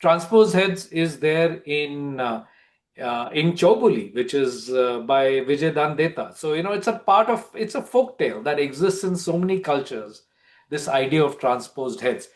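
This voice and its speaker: A man's voice: on the high side, lively intonation, moderate pace. A man with an Indian accent in an informal book club discussion, explaining and often rephrasing as he goes.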